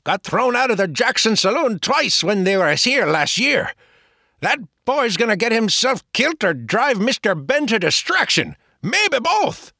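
A man voicing an excitable young shop clerk with a French accent, who stutters and chatters on. The voice sits high and swoops around, bright and sharp in tone, in a dry close recording. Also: unhurried, no room sound, full character voice. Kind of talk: audiobook character voice.

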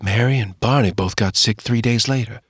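A man voicing a weak, feverish frontier mother who speaks faintly and slowly. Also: mid-range voice, dry recording, studio quality, natural voice. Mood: weak, scared. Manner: faint, weak, breathy and slow.

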